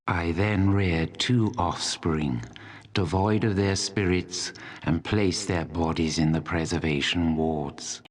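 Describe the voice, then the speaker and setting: An actor playing an ancient, proud and embittered dragon in a fantasy video game, speaking in a solemn, deliberate, coldly menacing way. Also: male voice, very deep voice, exaggerated voice. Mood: solemn, unrepentant. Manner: slow, deliberate, solemn delivery.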